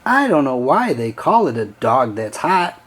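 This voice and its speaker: A man voicing a friendly, excitable dog in a children's storybook, polite and playful in manner. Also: mid-range voice, a little slow. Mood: chatty.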